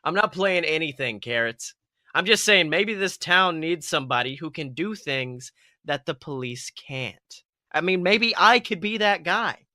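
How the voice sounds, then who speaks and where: A man's voice: fairly high pitch, lively intonation, unhurried, sharp tone, no room sound, clean studio sound, heavily acted. A man voicing a cocky young male fox who plays at being a masked superhero, melodramatic and bluffing, in a comic story reading. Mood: earnest, defensive.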